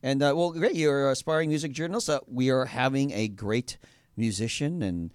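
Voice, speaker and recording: Male radio host, rambling casual chat, smooth clear voice, dry close sound. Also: medium pitch, fairly quick, no room sound. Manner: rambling, many fillers and restarts. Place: radio studio.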